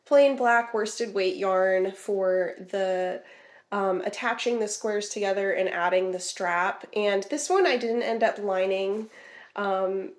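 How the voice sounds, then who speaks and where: A woman talks through her crafting updates in a loose, chatty way, pausing on ums and restarting her sentences. Her voice is stuffy and slightly nasal from lingering congestion, and her pitch sits at an ordinary middle height. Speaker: crochet vlogger. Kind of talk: casual vlog chat.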